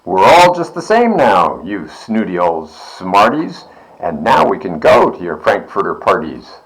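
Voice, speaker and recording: A man's voice: sits low, big pitch swings, measured pace, heavily acted, poor audio. A man voicing indignant, boastful beaked creatures from a children's storybook, exclaiming haughtily. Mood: gleeful, taunting.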